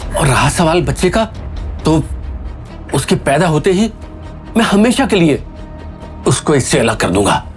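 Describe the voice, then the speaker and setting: A man's voice: a little high, big pitch swings, unhurried, pauses often, soft background music. A man playing a worried, placating middle-aged father in an audio drama, hesitant and pleading. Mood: anxious pleading. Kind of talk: Hindi audio drama acting.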